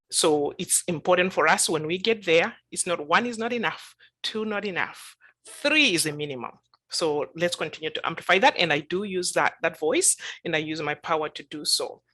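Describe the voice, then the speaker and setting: A woman's voice: lowish voice, a bit bright. A woman panelist speaking emphatically on a video call, repeating her key points for weight. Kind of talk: panel discussion remarks.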